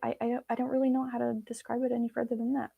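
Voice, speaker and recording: A woman's voice: fairly high pitch, flat delivery, racing, vocal fry, slightly rough, narrow, tinny audio. A woman from Belarus, a native Russian speaker, chatting casually and informally with viewers as she hosts a live Q&A. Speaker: vlog host.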